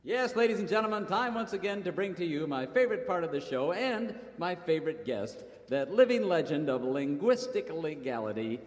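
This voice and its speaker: Folksy high-voiced radio host. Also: male voice, big pitch swings, moderate pace, few pauses, reverberant, big-room sound. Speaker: cowboy band host. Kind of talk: radio comedy acting before a live audience.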